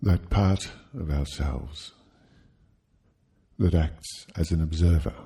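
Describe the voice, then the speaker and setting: A man leading a guided sleep hypnosis, speaking soothingly and gently in short, halting phrases with long pauses. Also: extremely low pitch, breathy edge.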